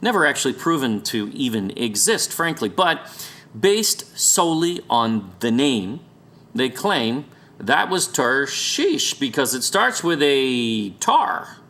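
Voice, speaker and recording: A man's voice: mid-range voice, big pitch swings, slow pace, bright, forceful tone. A man presenting an explainer in an argumentative, sarcastic and emphatic conversational manner. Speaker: presenter of a documentary series. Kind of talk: argumentative explainer narration over maps.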